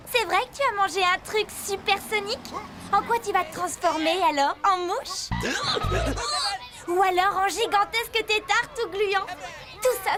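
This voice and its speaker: A woman voicing an earnest, theatrical little schoolgirl in a French cartoon. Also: extremely high pitch. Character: dramatic, earnest little schoolgirl with a sharp tongue. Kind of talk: French animated cartoon voice acting. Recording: music behind the voice.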